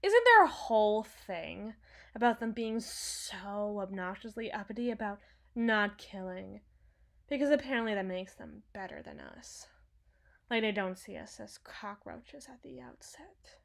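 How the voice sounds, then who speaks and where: A woman's voice: medium pitch, big pitch swings, a little slow, gravelly. A woman playing a brash, sarcastic, protective villain's henchwoman and field medic in an intimate, dramatic audio roleplay. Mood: sardonic, concerned. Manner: sardonic, dramatic, swings from hushed muttering to outbursts.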